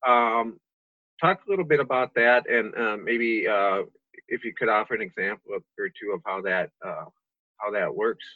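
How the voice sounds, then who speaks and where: A man interviewing as a reporter, halting and often repeating his words. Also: fairly low pitch, dry recording. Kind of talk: interview questions. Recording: dry, dull sound.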